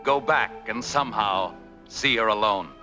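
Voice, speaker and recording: A man's voice: on the low side, unhurried, pushed and bright, soft background music. An actor playing a knowing narrator in a 1940s suspense film, insinuating and dramatic as he addresses the hero. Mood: ominous, knowing.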